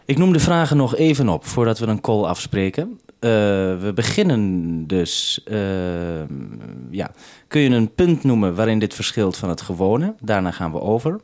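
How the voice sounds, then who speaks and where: Man, radio host, fairly low pitch, businesslike, explanatory, slightly hesitant, two-way radio conversation. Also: sing-song, normal speed, a little mellow. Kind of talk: unscripted radio-link conversation, saying over.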